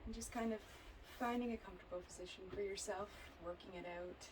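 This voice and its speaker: A woman teaches a yoga and meditation session with soothing, unhurried phrasing. Her medium-pitched voice stays very even, with little rise or fall. It is an amateur recording with faint noise behind her. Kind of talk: guided yoga and relaxation instruction.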